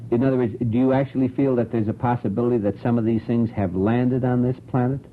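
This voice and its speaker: A man's voice: mid-range voice, steady tone, quick pace. A man hosting a radio talk show, putting probing questions to his panel of guests. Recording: muffled old radio broadcast, clear hiss.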